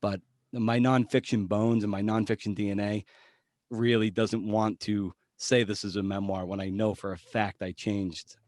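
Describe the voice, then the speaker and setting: A man's voice: on the low side, flat delivery, normal speed, darkish tone, dry recording. A man talking casually as an interview guest on a podcast, thinking aloud and questioning himself as he goes. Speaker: podcast guest, journalist and memoir writer. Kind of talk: casual conversational interview answers.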